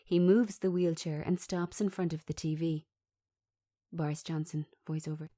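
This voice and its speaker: A woman reading a script aloud as narrator, giving the stage directions in a measured, descriptive manner. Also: low-pitched, steady tone, mellow.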